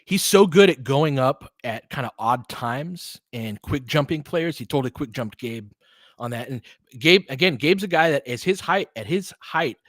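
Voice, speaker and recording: A man's voice: mid-range voice, expressive, normal speed, a bit bright, no echo. A man doing casual, partisan live basketball play-by-play in short, clipped, informal phrases, reacting emotionally to each play. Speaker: play-by-play commentator and host. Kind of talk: casual, fan-biased live basketball commentary.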